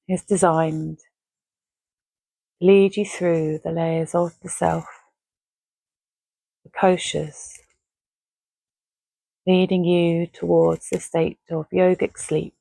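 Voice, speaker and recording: A woman with a lowish, soft-toned voice leads a guided relaxation. She is gentle and unhurried, pausing between short phrases. The sound is dry and close, with no room around it. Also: vocal fry, no room sound, rough recording. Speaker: meditation guide. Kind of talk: guided Yoga Nidra relaxation, soothing and measured.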